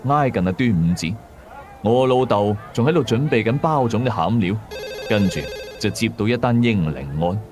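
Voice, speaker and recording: A man's voice: fairly low pitch, darkish tone. A man with a Cantonese accent narrating a scripted first-person ghost story. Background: faint music.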